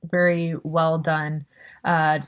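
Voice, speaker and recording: Slow, low-pitched woman reviewing a film. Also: little pitch movement. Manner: halting, pausing to find words. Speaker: film festival audience member giving feedback. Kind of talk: considered spoken film review.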